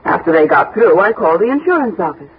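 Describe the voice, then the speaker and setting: Tinny old radio, husky brazen widow. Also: female voice, medium pitch, big pitch swings, fast talker. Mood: cool, guarded. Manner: breezy, blunt, familiar. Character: brisk, flirtatious, unsentimental do-it-yourself widow. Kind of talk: 1960s radio drama acting. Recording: tinny, band-limited 1960s radio recording.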